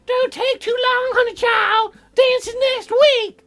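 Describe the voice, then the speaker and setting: A man's voice: very high voice, steady tone, slow pace, a bit bright, soft background music, pitched above the performer's own voice, full character voice. A man voicing a folksy old grandmother who nags, in a visual novel game. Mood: pestering.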